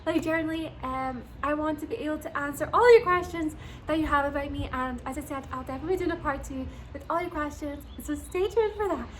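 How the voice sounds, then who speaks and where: High-voiced giggly young woman. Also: quick pace, a little hiss or hum, rough recording. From Scotland. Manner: bubbly, giggly, gushing. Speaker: vlogger. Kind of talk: casual chat to camera.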